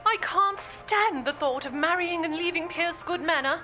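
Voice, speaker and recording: Very high-pitched, melodramatic young English lady. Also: normal speed, sharp tone, soft background music. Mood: melodramatic, earnest. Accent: put-on upper-class English. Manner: melodramatic, haughty, mannered. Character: deluded young Minnesota woman playing a grand Edwardian English lady. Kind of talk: comic radio play parody trailer.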